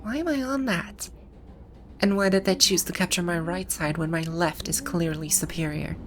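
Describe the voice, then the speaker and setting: A woman's voice: on the low side, normal speed, background noise, natural voice. A woman acting a haughty, bewildered video-game villainess in an ASMR roleplay, with pompous, commanding, old-fashioned phrasing. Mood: indignant, boastful.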